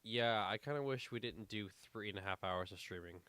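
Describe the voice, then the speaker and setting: A man's voice: on the low side, moderate pace, a bit bright, smooth. A man co-hosting a casual podcast, rambling with lots of fillers, a little congested. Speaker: podcast co-host. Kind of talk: casual chat.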